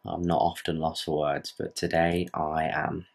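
A man's voice: rumbling low, little pitch movement, a little slow, vocal fry. A young British man in his twenties, rambling earnestly to his camera at night in his bedroom, swearing casually. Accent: British English. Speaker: fitness vlogger. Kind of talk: casual vlog talk to camera.

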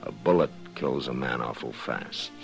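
An actor playing a stern frontier marshal who speaks in a grave, measured way in a 1950s radio drama. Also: male voice, rumbling low, vocal fry, full character voice. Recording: 1950s radio broadcast with music.

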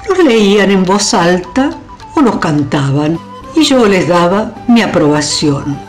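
A woman's voice: fairly low pitch, very animated, slow pace, soft background music. A woman playing a proud, passionate old medieval queen in a radio drama, with an Argentine accent and theatrical, emphatic delivery. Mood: proud, nostalgic. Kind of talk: melodramatic radio drama acting.

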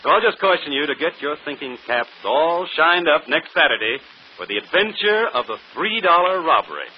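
A man's voice: fairly high pitch, very animated, normal speed. A man playing a brilliant, self-assured detective in 1940s radio drama style, articulate and theatrical. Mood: genial, presenting. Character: brilliant, self-assured male detective explaining his solution. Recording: muffled, noisy old radio broadcast.

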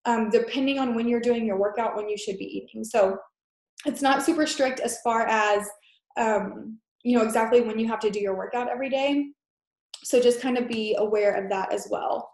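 A woman's voice: on the high side, a little mellow. A young woman with a stuffy head-cold nose, presenting a fitness webinar over a laptop mic in a chatty, filler-heavy, reassuring way. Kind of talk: casual sales presentation. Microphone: laptop mic on a video call. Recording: echoey room on a video call.

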